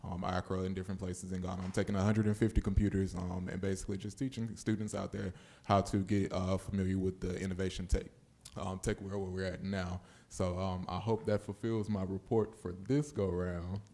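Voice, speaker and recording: A man's voice: low-pitched, little pitch movement, a little fast, dark tone. A young man giving an informal spoken report as a board member at a meeting. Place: public board meeting room.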